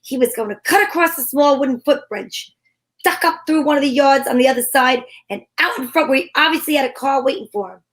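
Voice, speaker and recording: Radio detective heroine, woman acting: chatty, slangy, wisecracking narration in a high female voice. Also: fairly quick, a bit bright. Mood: wry, narrating.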